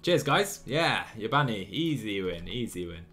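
A young man in his twenties streaming a cycling management game from a small room on a headset, chatty and excitable, laughing often while reading chat. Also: lowish voice, normal speed, soft background music. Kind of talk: live gameplay commentary and chat reading.

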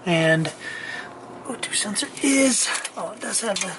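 Slow, rambling man in a garage. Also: high-pitched, animated, noisy background. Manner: rambling, slow and offhand, with many fillers and self-corrections. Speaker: channel host. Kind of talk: casual shopping haul vlog. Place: home garage workshop, indoors. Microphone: handheld camera mic, filming point of view.

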